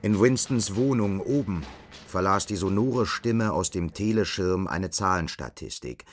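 A man's voice: low voice, lively intonation, a little slow, slight background noise. A man narrating a radio drama in a scripted literary style.

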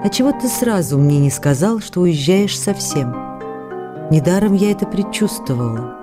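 A woman's voice: fairly low pitch, lively intonation, normal speed, natural voice. An actress playing a loving, hurt and anxious wife, reading her letters in an intimate, pleading way for radio drama. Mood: hurt, wistful. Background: faint music.

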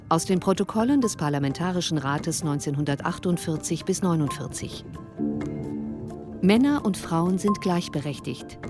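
A woman announcer reading the title and credits of a radio production in a measured way. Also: on the low side. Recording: music under the voice.

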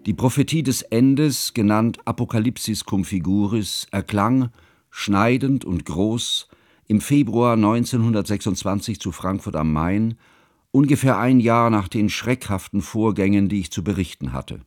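Low-voiced, solemn actor as a bookish chronicler. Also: male voice, a little mellow, dry recording, studio quality, lightly acted. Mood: grave, tender. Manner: solemn, measured, formal. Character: grave, bookish German chronicler, a sorrowful older man. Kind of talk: literary first-person narration in a radio play.

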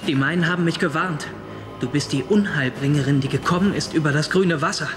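Actor as high-pitched, agitated young fanatic, noisy ambience. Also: male voice, a little fast, a bit bright, lightly acted. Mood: agitated accusing. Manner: agitated, accusing, breaking into screams. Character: frightened, fanatical young man threatening a supposed witch. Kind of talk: radio drama acting.

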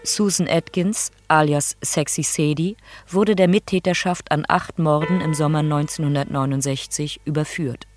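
A man reading scripted documentary narration in a sober, measured, matter-of-fact way. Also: on the high side, fairly even intonation, faint music behind.

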